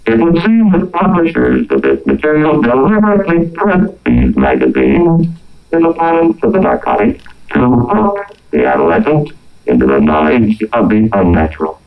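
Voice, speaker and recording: A man's voice: medium pitch, huge pitch swings, normal speed, phone-quality sound, soft background music. A man reading scripted, moralizing narration, turned into a robotic synthesizer voice by a vocoder. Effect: robotic vocoder with synthesizer tones. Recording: band-limited old recording through vocoder.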